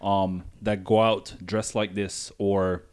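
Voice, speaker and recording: A man from Guyana co-hosting a casual opinion discussion, building his points deliberately with asides and questions. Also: lowish voice, little pitch movement, darkish tone, clean tone. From Guyana, moved north when young. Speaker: podcast co-host.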